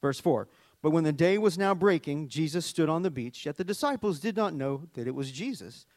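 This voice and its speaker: A middle-aged man with a Southern US accent preaches in a chatty, animated way, dropping jokes between scripture readings. His voice sits a bit high for a man. The sound is dry and close. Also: a little fast, no echo. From Arkansas, US. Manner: chatty, joking asides, animated storytelling. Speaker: worship leader and preacher. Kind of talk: conversational sermon with scripture reading.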